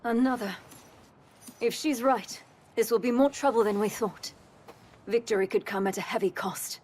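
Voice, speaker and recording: A woman's voice: fairly high pitch, fairly even intonation, normal speed, a little mellow, slight background noise, lightly acted. A woman playing a frank young female warrior ally in a video game cutscene. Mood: concerned, urgent.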